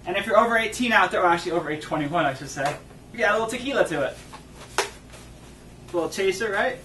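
High-voiced upbeat man, echoey distant mic. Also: lively intonation, fast talker, noisy background. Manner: upbeat, agreeable, laughs often. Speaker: cooking show host. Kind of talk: comedic cooking show patter.

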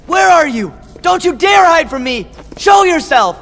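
Hotheaded teen hero, high, shouting, echoey hall. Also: male voice, very animated, unhurried, a little hiss or hum, heavily acted. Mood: furious. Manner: angry shouting. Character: hotheaded teenage boy hero.

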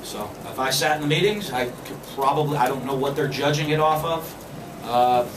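A man in his forties, a football coach answering postgame questions at a press conference, speaking in a measured way with many fillers and restarts. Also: mid-range voice. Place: press room with backdrop. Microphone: podium mic, filmed from a distance. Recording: echoey room, far from the camera, background noise.

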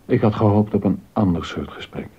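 A troubled court composer, played by a male actor in a historical radio drama. His voice is low and husky, and the sound is slightly dull. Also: a little fast, warm tone. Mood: pensive. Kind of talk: scripted radio drama dialogue.

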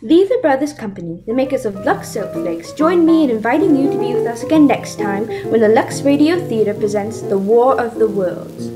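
A woman's voice: on the high side, animated, normal speed, hardly any pauses, lightly acted. A young woman playing an old-time radio theatre host, announcing in a formal scripted style. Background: music.

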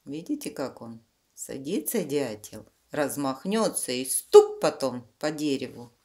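A woman's voice: extremely low pitch, very animated, a little slow, warm tone. A woman reading a children's storybook aloud, explaining the pictures simply to young listeners. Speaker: children's book reader.

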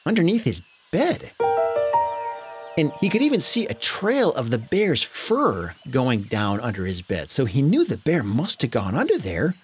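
A man narrating a children's story in a dramatic, animated way with exaggerated emphasis. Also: mid-range voice, measured pace, soft background music.

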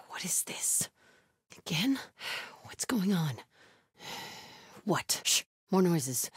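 A woman playing a fierce, devout cult warrior in a video game, speaking in terse combat and stealth barks with a husky voice. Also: lowish voice, no room sound, clean studio sound, exaggerated voice. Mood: wary, hushed. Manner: terse, clipped phrases.

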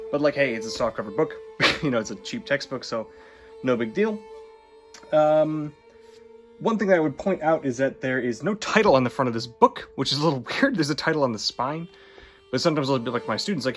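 A man giving a casual spoken book review, relaxed and chatty with lots of ums and little laughs. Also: mid-range voice. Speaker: book reviewer and swordsmanship instructor.

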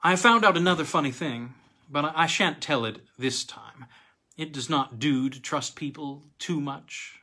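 A middle-aged man reading a literary story aloud expressively, with dramatic, halting delivery and emphatic pauses. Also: fairly high pitch, sharp tone, slightly rough. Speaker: reader of weird tales. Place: small home room with bookcase, indoors.

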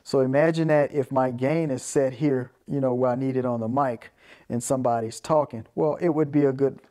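A man's voice: medium pitch, steady tone, fairly quick, warm tone. A man giving a casual hands-on gear walkthrough as a presenter, explaining step by step and often checking in with "right?". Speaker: presenter of an audio gear video. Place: small carpeted room indoors.

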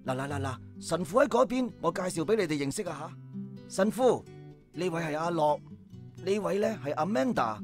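A man's voice: on the high side, expressive, normal speed, faint music behind. An actor playing a jovial, welcoming man who organises a prayer group, in a Hong Kong Cantonese radio drama.

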